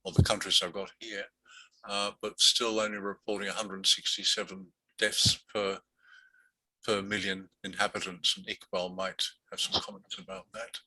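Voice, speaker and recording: Bright-toned man presenting figures. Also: low-pitched, little pitch movement, moderate pace, slightly rough, no echo, poor audio. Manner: hesitant, many fillers and restarts. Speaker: meeting host. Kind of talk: presenting statistics and interviewing.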